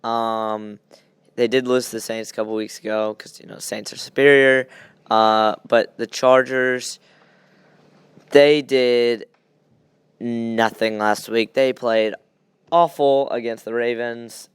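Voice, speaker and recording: A man's voice: fairly low pitch, clear, resonant tone, no echo. A young man hosting a podcast, chatting casually and giving blunt opinions on sports. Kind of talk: casual sports chat, game predictions.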